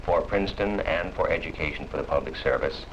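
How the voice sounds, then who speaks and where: A man giving a formal prepared address as a university program director, in deliberate phrases and long sentences. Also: extremely low pitch. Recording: muffled, noisy 1961 film soundtrack.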